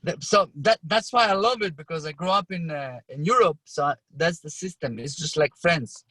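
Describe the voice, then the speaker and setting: A young man with an Albanian accent, whose first language is Albanian, telling a story as a podcast guest, animated and full of restarts. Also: on the high side, moderate pace, no echo, rough recording. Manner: animated, acts out his own past lines with frequent restarts. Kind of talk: casual storytelling.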